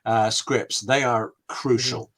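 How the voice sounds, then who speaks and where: A British man speaking as a guest scriptwriter, giving conversational writing advice in an emphatic, discursive way with dramatic pauses. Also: medium pitch, steady tone, fairly bright tone.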